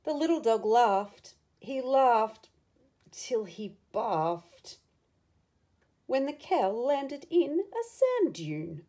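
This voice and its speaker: A woman reads a children's story in a lively, high voice with a soft, mellow tone. She takes her time, leaning into words with dramatic emphasis and chatting to the young listener. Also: very slow. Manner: animated, dramatic emphasis with hushed asides. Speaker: storyteller reading a picture book aloud to children. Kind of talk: expressive children's read-along with asides to the listener.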